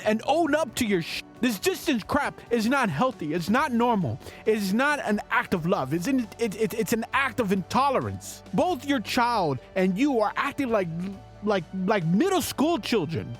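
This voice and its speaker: A middle-aged man hosting a show, speaking straight to listeners in an earnest, preachy, emphatic motivational monologue. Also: high-pitched. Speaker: show host and father.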